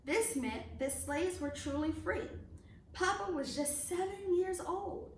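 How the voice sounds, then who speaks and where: A woman reading a children's picture book aloud as a storyteller, expressively and with deliberate emphasis on phrases. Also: very high voice. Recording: roomy echo, distant mic, faint noise.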